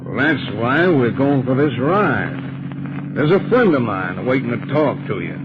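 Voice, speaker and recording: Menacing American hoodlum, lively delivery. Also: male voice, mid-range voice, unhurried. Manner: blunt, slangy, menacing. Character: threatening small-town western hoodlum, blunt and sneering. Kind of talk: 1940s radio acting. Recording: dull old radio recording, clear noise.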